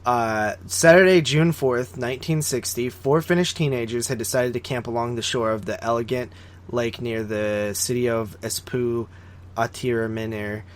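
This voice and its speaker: Male podcast co-host, medium pitch, informal and conversational film-review chat. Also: fairly even intonation, moderate pace.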